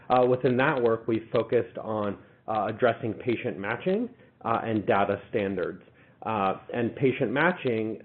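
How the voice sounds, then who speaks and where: A man's voice: mid-range voice, slight background noise. Congested man presenting over phone line. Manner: halting, many uh fillers. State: congested with a cold. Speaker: presenter, policy manager. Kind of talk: webinar presentation. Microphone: online webinar connection. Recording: phone-like webinar audio.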